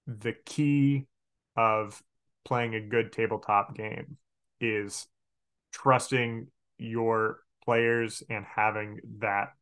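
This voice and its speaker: A man's voice: mid-range voice, fairly bright tone. A man speaking as a podcast guest and game master, casual and emphatic. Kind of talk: casual interview talk.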